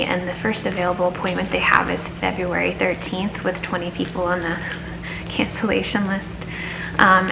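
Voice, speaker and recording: A woman's voice: lowish voice, gravelly. A woman talking candidly in a personal vlog, earnest and confiding, as if thinking aloud. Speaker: vlogger. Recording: echoey room, faint background noise.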